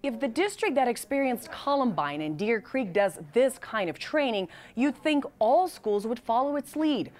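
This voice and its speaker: A woman's voice: fairly high pitch, lively intonation, moderate pace, few pauses, a little mellow. A woman reporter reading scripted narration for an investigative news story.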